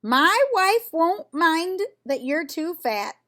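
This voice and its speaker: A big, genial storybook moose, voiced by a woman, calling out heartily in a high voice that swoops up and down. He is eager, polite and takes his time over his words. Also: big pitch swings, slow, sharp tone, heavily acted. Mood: politely insistent.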